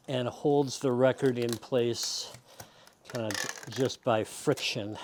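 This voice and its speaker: A man's voice: medium pitch, darkish tone, a little hiss or hum. A man giving a casual, patient step-by-step how-to demonstration, explaining as he goes. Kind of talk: casual step-by-step tutorial talk.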